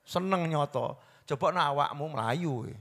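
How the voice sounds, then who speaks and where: Guy preaching, high bright voice, goes quiet then loud, big echoey hall. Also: moderate pace. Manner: swings from hushed storytelling to loud impassioned pleading, mixing in local words. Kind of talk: sermon. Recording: long hall reverb.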